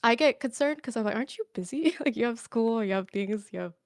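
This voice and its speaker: A woman's voice: a little high, lively intonation, fairly quick, dry recording, studio quality. A woman guest on a casual podcast, chatting and laughing often between phrases.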